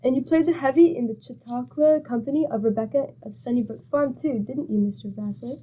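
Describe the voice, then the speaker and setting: A woman acts a middle-aged wife in an old-time radio comedy. Her voice is high, her manner patient and wry, with a chiding, teasing dryness. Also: a little fast, mellow, lightly acted, low-quality recording. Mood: dryly teasing.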